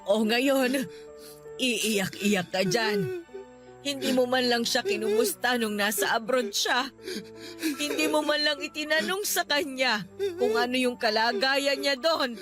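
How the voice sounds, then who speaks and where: A woman's voice: sits high, animated, unhurried, music in the background. A woman acting as a grieving nanny in a radio drama, scolding bitterly and tearfully.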